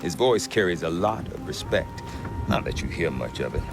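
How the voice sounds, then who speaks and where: Deep-voiced wry frontier trader. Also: male voice, moderate pace. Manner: wry, dry humor, lively delivery. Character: gruff middle-aged male frontier trader, wry. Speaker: merchant trader. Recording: game cutscene with music behind.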